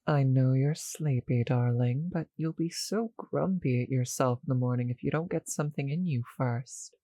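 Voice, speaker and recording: A performer voicing a seductive, doting vampire with a possessive streak in an intimate ASMR roleplay, in a slow, breathy, purring manner. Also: medium pitch, warm tone, dry recording, close to an everyday voice. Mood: tender, doting.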